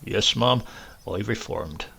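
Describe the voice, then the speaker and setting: Man playing gravelly, roguish old English countryman. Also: on the low side, bright, forceful tone, pitched below the performer's own voice, heavily acted. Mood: mock remorse. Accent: rural English. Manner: rustic dialect, deferential, repeats himself slowly for effect.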